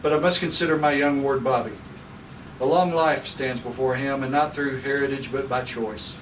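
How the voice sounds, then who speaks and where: A man's voice: mid-range voice, steady tone, normal speed, natural voice. A man reading a solemn, formal professor and guardian at a script table read, in a stately, declamatory manner. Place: room at a group table read. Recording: echoey noisy room, far from mic.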